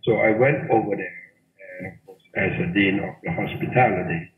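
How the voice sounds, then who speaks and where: A middle-aged man from Thailand, a hotel general manager answering interview questions in a lively way, often repeating words for emphasis. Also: low voice, normal speed. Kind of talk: interview guest. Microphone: phone or call line. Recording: tinny phone line, roomy echo.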